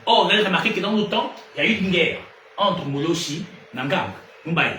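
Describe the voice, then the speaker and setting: Preacher man, echoey and distant. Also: a little fast. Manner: animated, rising to loud emphatic peaks then dropping low. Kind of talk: sermon. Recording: echoey room from far off, noisy ambience.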